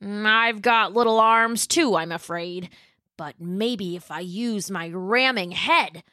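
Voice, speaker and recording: Storybook: a slow, easygoing boy dinosaur who drawls and hums, played by a woman, low and lazy. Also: bright, forceful tone, no echo, studio quality. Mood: drawling. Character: curious young male pachycephalosaurus, easygoing.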